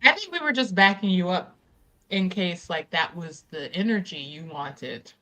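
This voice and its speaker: A woman's voice: on the low side, lively intonation, normal speed, bright, forceful tone. A woman in casual banter during a tabletop roleplaying game, with a teasing, blunt way of talking.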